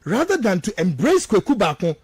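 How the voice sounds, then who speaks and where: High-pitched, animated Ghanaian man, emphatic commentator. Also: fairly quick, slightly rough, dry recording, clean studio sound. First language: Twi. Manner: emphatic, repeats rhetorical questions for effect. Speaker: political commentator, studio guest. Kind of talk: impassioned radio political commentary.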